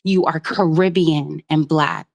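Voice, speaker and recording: A woman from Jamaica phones in to a live stream and speaks at length. She is earnest and rambles, filling her pauses with "um." Her voice sits a little low. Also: steady tone, gravelly. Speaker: caller. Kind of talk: casual call-in commentary.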